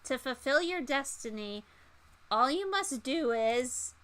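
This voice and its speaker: Woman playing a slow, portentous prophetic spirit. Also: high-pitched, lively intonation, fairly bright tone, full character voice. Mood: solemn, urgent. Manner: drawn-out, portentous, halting.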